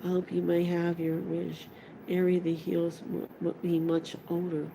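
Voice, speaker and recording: A woman voicing an ancient male tree creature in a storybook, ponderous and hesitant, humming as he deliberates. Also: fairly low pitch, monotone, gravelly, dark tone, a little hiss or hum, low-quality recording. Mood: pleased.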